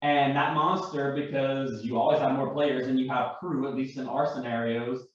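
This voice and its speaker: A man's voice: medium pitch, steady tone, moderate pace. Emphatic man, distant and very echoey. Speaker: panel guest explaining his hobby. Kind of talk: casual, animated explanation with acted-out examples. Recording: very echoey, dull, distant room audio.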